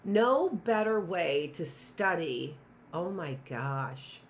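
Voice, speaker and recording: Middle-aged woman teacher rambling thru a live online lesson, low gravelly voice, sarcastic little asides. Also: expressive, slow pace, a little hiss or hum. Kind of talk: live online class lecture.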